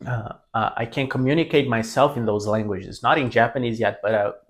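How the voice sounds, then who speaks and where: A young man with a Brazilian Portuguese accent speaks to the camera in easy, unscripted talk. His English is not his first language, so he pauses and restarts often. His voice sits at an ordinary middle pitch. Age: 20s. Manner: halting, many restarts and self-corrections. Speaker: language mentor.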